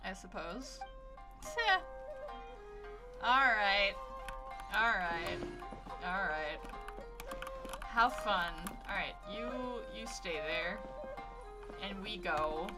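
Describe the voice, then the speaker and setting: A woman's voice: medium pitch, drawn-out words, long pauses. A woman livestreaming a video game with casual, wry, sweary reactions, sounding tired. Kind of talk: casual reactive gameplay commentary. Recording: game music behind voice.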